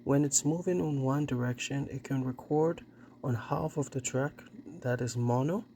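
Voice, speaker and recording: A man's voice: mid-range voice, normal speed, mellow, slight background noise. A man casually narrating a hands-on demonstration and repair of vintage gear, thinking aloud as he explains. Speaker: vintage tech presenter.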